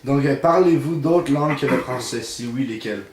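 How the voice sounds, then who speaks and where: A man's voice: mid-range voice, normal speed, a little mellow, slight background noise. Male interviewer, mid voice, plain direct delivery, echoey room. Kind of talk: reading interview questions.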